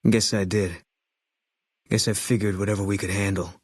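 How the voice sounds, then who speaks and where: An actor voicing a grim, haunted ex-soldier sniper in a video game, talking in terse, clipped lines with a flat, brooding delivery. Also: male voice, on the low side, moderate pace, breathy edge, dry recording, studio quality. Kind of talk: video game character dialogue.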